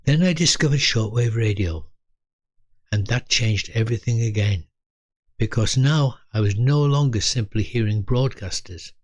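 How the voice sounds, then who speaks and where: An elderly man in his eighties narrates a reflective, scripted memoir in measured, deliberate short phrases. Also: medium pitch, dry recording. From Britain. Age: 80s. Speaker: storyteller recalling his youth.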